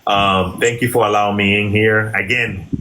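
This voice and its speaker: A man's voice: on the low side, fairly even intonation, normal speed, sharp tone, a little hiss or hum, low-quality recording. A man born in Venezuela, a guest on a debate stream, talking in a rambling, hesitant way with many restarts. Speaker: guest caller. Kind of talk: casual debate chat.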